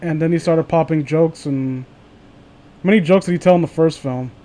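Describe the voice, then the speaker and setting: A man's voice: fairly high pitch, normal speed, mellow. A man hosting a livestream, chatting casually with friends and viewers in a sardonic, profane way. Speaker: livestream host.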